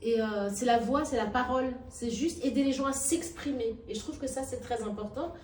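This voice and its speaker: A woman being interviewed, with frequent restarts and hesitations that give way to emphatic, lively stretches. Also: a little high, a little fast. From Nigeria and Madagascar. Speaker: actress and workshop founder, interviewee. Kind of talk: spontaneous interview talk. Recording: echoey room, heard from a distance.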